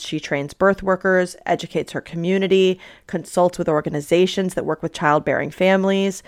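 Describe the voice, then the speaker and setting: Chatty woman podcast host, a bit sniffly. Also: fairly low pitch. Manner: upbeat, chatty, self-deprecating. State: sniffly after covid. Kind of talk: podcast interview and intro.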